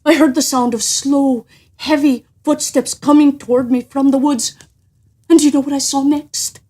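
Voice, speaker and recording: A woman telling stories in a theatrical, suspenseful and deliberate manner, as a host would. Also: high voice, fairly even intonation, dark tone. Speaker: storyteller and host. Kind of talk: animated scripted storytelling.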